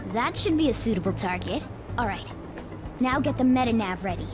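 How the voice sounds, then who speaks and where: Woman voicing a high-pitched bossy cat mentor. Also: expressive, a little fast, over music, close to an everyday voice. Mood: commanding. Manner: instructive, commanding. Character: bossy, know-it-all talking cat mentor. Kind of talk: English video game dub voice acting.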